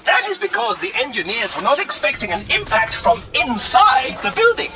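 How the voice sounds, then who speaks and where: A man's voice: extremely high pitch, huge pitch swings, moderate pace, over music, exaggerated voice. A male actor voicing a small, servile, ecstatically happy flying robot in a comic sci-fi radio drama, gushing and blissful. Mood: helpful.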